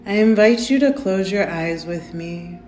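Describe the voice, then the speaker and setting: Slow, soothing woman guiding a meditation. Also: lowish voice, lively intonation, a little hiss or hum. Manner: gentle, soothing and unhurried, with soft invitations. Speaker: meditation guide. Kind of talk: guided meditation. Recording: roomy echo with faint music.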